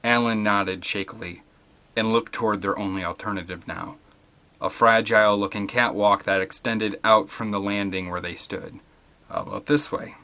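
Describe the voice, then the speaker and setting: A man's voice: lowish voice, steady tone, normal speed, bright, forceful tone. A man reading an adventure story aloud as scripted, dramatic audiobook narration. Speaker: audiobook reader.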